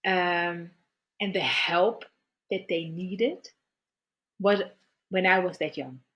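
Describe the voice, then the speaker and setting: Halting, reflective middle-aged woman. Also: fairly low pitch, fairly bright tone. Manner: halting and searching, often repeating words as she gathers her thoughts. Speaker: guest therapist. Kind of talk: personal story in conversation.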